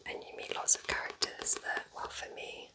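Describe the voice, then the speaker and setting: An ASMR host recommending books in a breathy, unvoiced whisper, soft, unhurried and gently enthusiastic.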